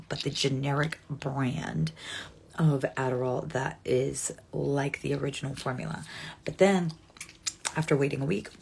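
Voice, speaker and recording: A woman's voice: deep voice, gravelly, a little hiss or hum. A woman in her forties chatting casually with viewers on a live stream, rambling and correcting herself with frequent ums. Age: 40s. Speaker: livestream host.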